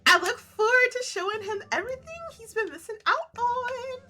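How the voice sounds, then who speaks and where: A woman reading a visual novel aloud as a game streamer, with expressive scripted narration. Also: very high-pitched, normal speed. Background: music.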